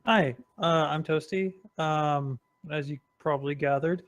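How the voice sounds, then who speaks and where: A laid-back man from Northern California, speaking hesitantly with many pauses. His voice is a little higher than most men's. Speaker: player.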